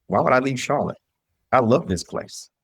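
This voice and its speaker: A man's voice: mid-range voice, expressive, normal speed, fairly bright tone, slightly rough, no echo, clean studio sound. A middle-aged man from the southern US telling his personal story as an interview guest, emphatic and repeating phrases as he voices his own past thoughts. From southern US (Mississippi, North Carolina).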